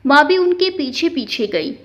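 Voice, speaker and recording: A slightly dull, lo-fi recording carries a woman's high-pitched voice. She narrates a scripted family drama in the first person, in the manner of an audio storyteller. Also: animated, a little slow. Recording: dull, lo-fi sound.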